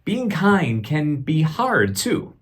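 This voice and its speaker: A man reading a picture book aloud like a teacher to children, expressive and deliberate, pausing to put questions to young listeners. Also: a little high, husky.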